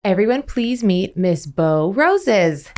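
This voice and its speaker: A woman's voice: mid-range voice, sing-song, takes it slowly, darkish tone. A woman hosting an interview podcast, asking eager questions in a friendly, conversational way. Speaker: podcast host.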